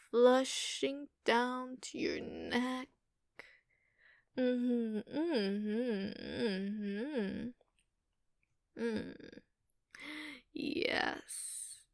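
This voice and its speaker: A woman voicing a giant dragon mother in an ASMR roleplay, doting, teasing and softly dominant, cooing and repeating herself with murmured hums, sometimes in whispers. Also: a little high, vocal fry, no echo, studio quality, exaggerated voice. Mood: teasing, amused. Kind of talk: monster girl ASMR roleplay. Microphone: close microphone.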